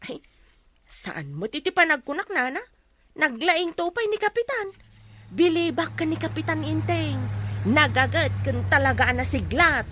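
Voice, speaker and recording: A woman's voice: high voice, animated, normal speed, a bit bright, slight background noise. A woman with an Ilocano accent playing a chatty, loyal village neighbour in a radio comedy drama. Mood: admiring, insistent.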